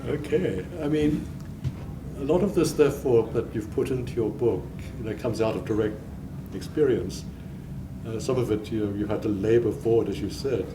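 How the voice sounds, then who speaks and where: A man hosting a book event, asking the author about her work in a measured manner with a few fillers. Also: lowish voice. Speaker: event host and interviewer. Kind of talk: interviewer's questions. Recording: roomy room, distant mic, clear background noise.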